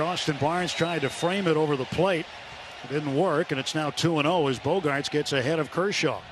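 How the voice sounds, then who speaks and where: Male radio play-by-play announcer, fairly high pitch, measured descriptive baseball calls, noisy stadium broadcast. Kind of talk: live radio play-by-play commentary. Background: loud ballpark ambience.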